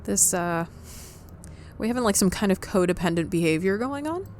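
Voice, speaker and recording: A woman's voice: mid-range voice, animated, moderate pace, darkish tone. A woman giving casual, conversational commentary as she plays through a game. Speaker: game streamer. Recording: faint background noise and game ambience.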